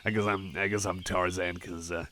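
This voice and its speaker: A man's voice: low voice, flat delivery, moderate pace, bright, forceful tone, slight background noise. A man playing a shy, friendly cyborg chum in an ASMR roleplay, talking in a hesitant, stammering, rambling way. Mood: bashful, rambling. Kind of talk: personal attention ASMR roleplay.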